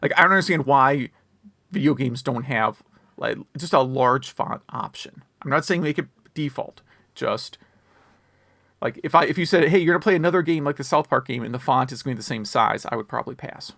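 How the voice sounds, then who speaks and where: A man's voice: medium pitch, fairly quick, vocal fry, fairly bright tone, dry recording. A man chatting casually about video games as a podcast co-host, rambling and wryly complaining.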